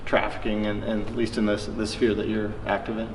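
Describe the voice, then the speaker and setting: A man interviewing in a conversational, measured and attentive way. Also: fairly low pitch, monotone. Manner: measured, attentive, restates the guest's points. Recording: distant mic, echoey reverberant room, background noise.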